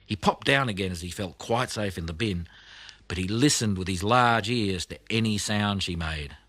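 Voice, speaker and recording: A man reading a children's audiobook as narrator, telling the story expressively with deliberate emphasis. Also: lowish voice, a bit bright, no room sound.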